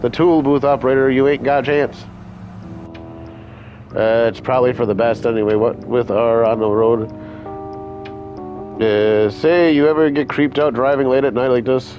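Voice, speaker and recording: A man reading game dialogue aloud as a chatty male mover on a late-night drive, a little higher and louder than his own voice. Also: fairly even intonation, normal speed, pauses often, over music, close to an everyday voice. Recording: dull lo-fi stream audio.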